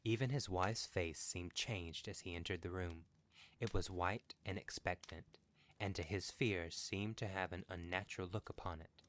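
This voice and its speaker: A man's voice: sits low, fairly even intonation, normal speed, fairly bright tone, slight background noise. A man reading a horror story as scripted narration for a podcast.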